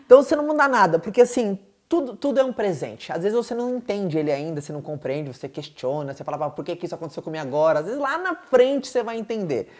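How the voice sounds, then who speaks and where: A man who hosts a podcast, answering questions in an energetic, emphatic motivational chat with lots of fillers. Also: high-pitched, quick pace, few pauses. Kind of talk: casual motivational talk.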